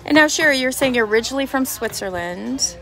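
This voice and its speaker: A woman's voice: high voice, animated, fast, a bit bright, faint music behind. A woman hosting a casual shopping vlog at an outdoor market booth, gushing over the items with short admiring exclamations. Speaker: host.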